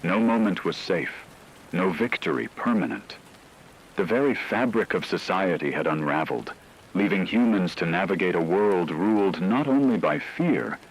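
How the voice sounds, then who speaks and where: A man reading dramatic scripted fiction narration in a solemn, measured way. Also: sits low, animated, a little hiss or hum, low-quality recording.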